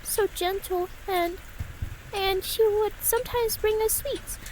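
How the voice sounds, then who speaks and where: A very high, small voice of a timid little girl, grieving and trusting. She speaks slowly and haltingly, in simple childlike phrases, as in an audio drama. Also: fairly even intonation, a little mellow, slight background noise, rough recording. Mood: wistful, grieving. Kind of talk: fan audio drama acting.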